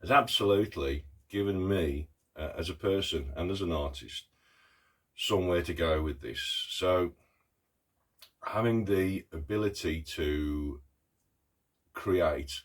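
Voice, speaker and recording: Middle-aged man vlogging, very low husky voice with a croak from a cough, halting and earnest with many pauses. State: hoarse with a cough. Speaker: artist vlogger. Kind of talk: casual talk to camera.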